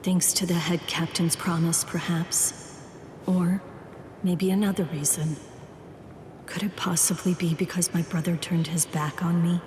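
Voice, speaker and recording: An actress playing a condemned young woman warrior in an anime game, selfless and resigned, with a solemn, deliberate manner. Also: lowish voice, flat delivery, a little hiss or hum, lightly acted. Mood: wistful, inward. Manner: solemn, deliberate, softly resolute. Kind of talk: scripted anime game dialogue.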